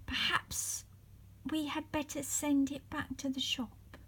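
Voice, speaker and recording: A woman's voice: high-pitched, level tone, slow, a bit bright, pitched above the performer's own voice, exaggerated voice. A woman reading the part of an earnest young boy in a children's storybook. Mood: thoughtful.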